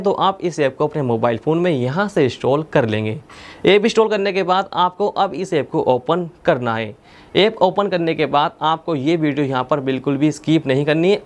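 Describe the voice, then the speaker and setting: A young man presenting a tech tutorial step by step, in a methodical, instructional manner. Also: a little high. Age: young adult. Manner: instructional, repeats points methodically. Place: indoor studio with plain blue backdrop.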